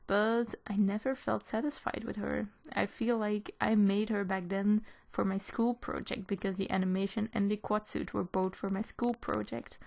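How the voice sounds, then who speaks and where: Creaky-voiced woman. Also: mid-range voice, darkish tone. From Belgium. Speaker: animator answering viewers' questions. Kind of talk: casual question-and-answer chat. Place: in a room at home.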